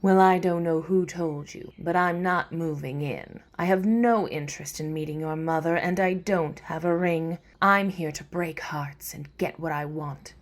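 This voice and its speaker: An actress playing a cool, biting femme fatale in a parody of a 1940s detective radio play, sultry and cutting. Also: female voice, sits low, unhurried, hardly any pauses. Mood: cool, teasing.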